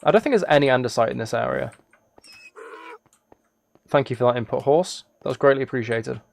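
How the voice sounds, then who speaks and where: A man's voice: medium pitch, expressive, fairly quick, creaky. A man chatting casually on a livestream as he plays a game, rambling and thinking aloud. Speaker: live streamer. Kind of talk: casual livestream chat while gaming, reading chat.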